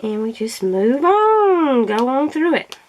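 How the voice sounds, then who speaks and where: A woman's voice: sits high, very animated, slow pace, low-quality recording. A woman in casual, rambling chat as a crafting vlogger, often correcting herself, her throat irritated by allergies. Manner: rambling, chatty, self-correcting.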